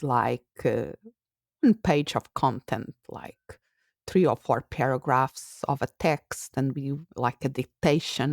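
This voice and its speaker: A woman's voice: low voice, a little mellow, no echo, studio quality. A woman in her fifties from Portugal with a Portuguese accent, hosting a reflective solo podcast with a thoughtful manner. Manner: thoughtful, unhurried, with frequent uh fillers. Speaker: podcast host.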